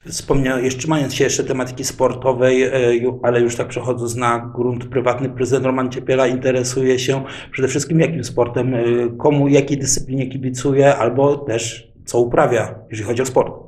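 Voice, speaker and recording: A man hosting an interview, asking direct, brisk questions at a desk microphone in a small studio. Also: mid-range voice, little pitch movement, a little mellow. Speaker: interview host. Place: small studio set with bookshelves. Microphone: desk microphone on stand.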